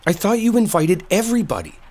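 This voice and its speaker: A man's voice: high voice, very animated, fast talker, darkish tone. A man playing an exasperated, defensive coworker who is secretly smitten, in an emotional roleplay audio drama. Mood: earnest, defensive. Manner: emotional, pleading, emphatic, conversational. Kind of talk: roleplay audio drama, intimate one-sided dialogue.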